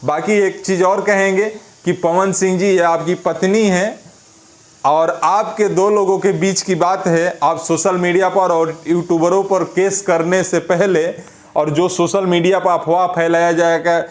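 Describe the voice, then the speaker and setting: A man's voice: sits high, flat delivery, a little slow. A man with a Bihari accent giving informal, opinionated commentary as an online news presenter, colloquial and scolding, repeating phrases for emphasis.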